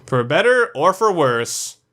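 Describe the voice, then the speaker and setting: A man with a slightly high voice delivers opinionated video-review narration. He is the host, speaking informally and freely dropping curse words. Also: sing-song, slow, fairly bright tone. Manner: informal, sweary.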